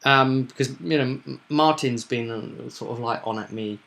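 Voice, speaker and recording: A man's voice: mid-range voice, normal speed, vocal fry, fairly bright tone. A man hosting as game master, chatting casually about a tabletop roleplaying game and often repeating himself with tag questions.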